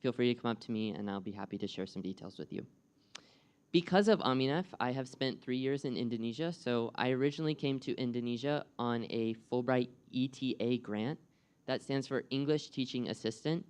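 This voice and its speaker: A man's voice: medium pitch, a little fast, darkish tone, clean tone. Young American man, speaker at a live presentation, friendly, asks the audience questions, resonant voice. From United States. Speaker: guest speaker and program coordinator.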